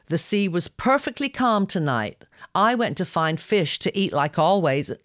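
A woman's voice: lowish voice, big pitch swings, unhurried, no room sound, clean studio sound, pitched above the performer's own voice. A woman voicing a cocky, stubborn young gargoyle boy with a brash, carefree manner in an audio story. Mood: accusing.

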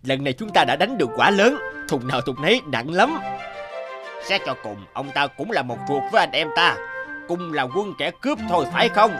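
A man's voice: fairly high pitch, natural voice. A man voicing a bold, commanding bandit leader with a righteous streak in a cartoon folk tale.

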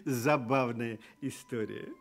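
A man narrating a scripted children's story for radio in a deliberate, dramatic way. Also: mid-range voice, steady tone.

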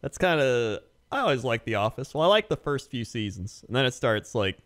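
A man's voice: mid-range voice, very animated, a little fast, fairly bright tone. A man co-hosting a casual podcast, laid-back and easygoing, chatting in an amused way while getting over a cough. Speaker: podcast co-host.

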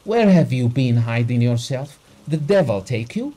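A man's voice: mid-range voice, expressive, a little slow, mellow, husky. A man reading a story aloud, voicing a wealthy, haughty nobleman who shrieks at others and his servants. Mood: raging. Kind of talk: audiobook character reading.

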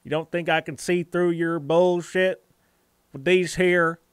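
A man's voice: on the high side, fairly even intonation, measured pace, clean tone, no room sound, clean studio sound. A man livestreaming a game in casual, rambling chat, repeating phrases and swearing freely, with a put-on folksy hillbilly drawl.

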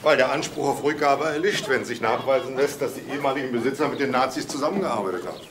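A man acts a brisk detective in a crime drama. He speaks quickly and factually, with an ordinary male pitch. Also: reverberant, a crowd in the background, natural voice. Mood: matter-of-fact. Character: brisk, matter-of-fact male police detective. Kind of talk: German crime drama acting.

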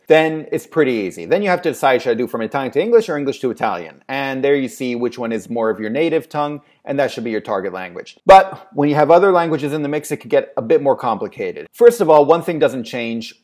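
Man, 30s, casual webcam vlogger, relaxed, thinks aloud, hedges often; earphone mic, small home room. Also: medium pitch. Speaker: translation agency owner giving advice. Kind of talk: casual advice vlog answering a viewer question.

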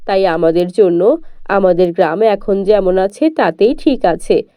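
A woman doing humble village folk, lower voice. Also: a little slow, no room sound, natural voice. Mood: grateful. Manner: humble, grateful, steady. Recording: dull lo-fi dry recording.

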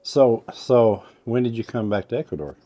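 A man's voice: medium pitch, lively intonation, moderate pace, dark tone. An older man hosting a casual interview on an outdoor patio, rambling and restarting his sentences, recorded from a camera at a distance. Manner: rambling, repeats and restarts himself. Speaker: host. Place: covered outdoor patio by a fireplace.

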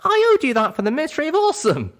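Male reader as young pony heroine, very high voice, eager, excitable, mischievous, swooping, audiobook. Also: fast talker, full character voice. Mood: teasing. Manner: excitable, exclaiming.

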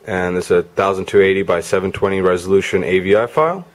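A man's voice: low voice, fairly even intonation, fast. A man giving a casual hands-on gadget demo in an informal, off-the-cuff way. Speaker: product reviewer. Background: music from demo videos on a player.

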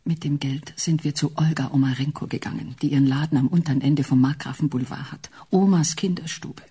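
An actress playing a sentimental, anxious, elderly wife of little means in a radio drama. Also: female voice, sits low, fairly even intonation, brisk, mellow, husky. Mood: earnest. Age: older.

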